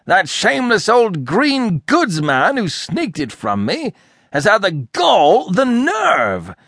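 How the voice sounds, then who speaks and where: A man's voice: mid-range voice, animated, quick pace, a bit bright, no echo, studio quality. A man reading a storybook, voicing an irascible, sarcastic, blustering older rich American man who hectors explosively. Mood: furious outburst. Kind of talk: audiobook character acting.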